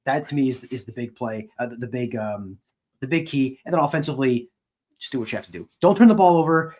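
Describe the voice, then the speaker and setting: A man's voice: medium pitch, quick pace, a little mellow. An American man co-hosting a podcast, speaking in a casual sports-analysis style.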